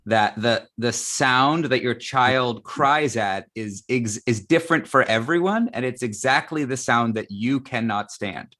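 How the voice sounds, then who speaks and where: A man's voice: mid-range voice, a little slow, few pauses, sharp tone. Male interviewer, mid-range voice, casual live-panel talk, keeps tagging his points with "right?". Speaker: interviewer in a theatre discussion.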